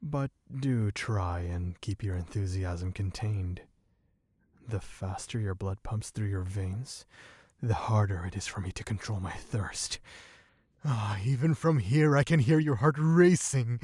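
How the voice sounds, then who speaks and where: Man performing a male vampire, low-pitched, breathy, close and dry, suave, teasing, bloodthirsty, ASMR roleplay. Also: a little mellow, no echo. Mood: teasing menace. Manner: slow, teasing, theatrically genteel, purring endearments.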